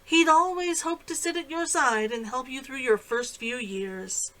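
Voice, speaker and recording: A woman voicing a genial, loyal male business deputy in a storybook, speaking in a sincere, gentle manner. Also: sits high, unhurried, low-quality recording. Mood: fond, sincere.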